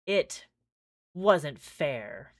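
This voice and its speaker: A woman livestreamer reading a visual novel aloud with casual asides, expressive and giggly, often stumbling and restarting. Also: low voice, extremely slow, dry recording, studio quality.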